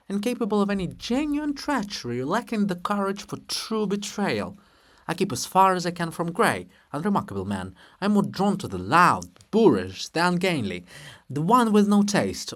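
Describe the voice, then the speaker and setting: A man voicing the bronze monument of an irascible bearded historian, emphatic and scornful, given to ranting. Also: high voice.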